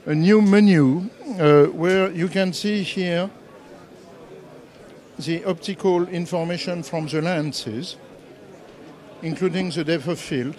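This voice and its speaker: A middle-aged man, talking into a handheld mic at a trade show booth and explaining products with many halting "uh" pauses. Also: a little high, darkish tone, some chatter behind. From France. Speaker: company representative demoing products. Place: trade show booth, indoors, busy hall. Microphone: handheld microphone.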